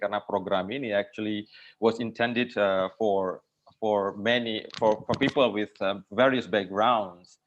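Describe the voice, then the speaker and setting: A man with an Indonesian accent giving semi-formal opening remarks as moderator, measured, hesitant and wordy. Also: on the low side. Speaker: guest host and moderator of a conversation. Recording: slightly dull lo-fi online call.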